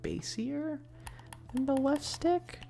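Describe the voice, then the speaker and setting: A woman's voice: high-pitched, slow, creaky, warm tone, faint music behind. A woman chatting casually and playfully with viewers on an ASMR livestream, teasing and repeating her questions, close to the microphone.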